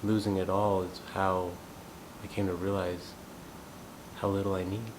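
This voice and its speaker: A man in his thirties, from the United States, talking through his thoughts in a reflective, unhurried personal monologue. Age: 30s. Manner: thoughtful, unhurried, musing aloud. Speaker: gong practitioner sharing thoughts. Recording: steady low rumbling background noise. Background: rumbling noise.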